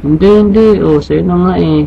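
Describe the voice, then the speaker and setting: A man voicing a cheeky, excitable young suitor with a Filipino accent in a comic amateur dub, teasing and exclaiming. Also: on the high side, moderate pace, creaky, muffled recording, background noise. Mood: teasing, coaxing. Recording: music behind the voice.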